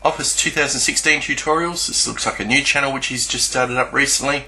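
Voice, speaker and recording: Male YouTuber for screen commentary: creaky, sharp-edged voice, unscripted and hesitant delivery. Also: mid-range voice, fairly even intonation. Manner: hesitant, halting, searching for words. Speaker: YouTuber presenting a screen walkthrough.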